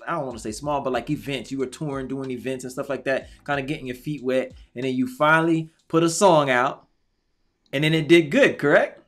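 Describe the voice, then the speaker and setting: A man's voice: medium pitch, brisk. A man hosting a casual talk show interview, chatty and hyped, repeating himself often.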